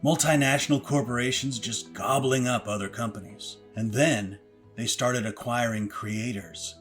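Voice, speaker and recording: Actor as defensive ex-critic, male, mid-pitched everyday voice, interviewed expert, mockumentary audio drama, faint music. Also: normal speed, a bit bright, close to an everyday voice. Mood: wistful, explanatory. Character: touchy, defensive former male podcast critic. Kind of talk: scripted mock interview.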